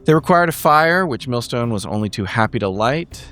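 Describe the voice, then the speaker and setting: A man giving a casual spoken recap of a horror roleplaying story, in measured, wry phrasing. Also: mid-range voice, expressive, fairly bright tone, faint music behind. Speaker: podcast player giving the episode recap.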